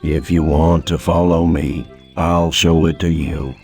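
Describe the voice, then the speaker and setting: A man's voice: rumbling low, level tone, measured pace, darkish tone, soft background music. A man voicing a gloomy, resigned old cartoon donkey in a glum drawl.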